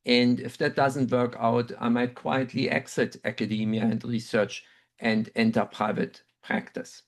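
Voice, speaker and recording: A man's voice: medium pitch, level tone. German-accented man telling a story. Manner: measured, reflective, with frequent ums. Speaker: webinar speaker, dental researcher. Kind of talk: personal storytelling talk.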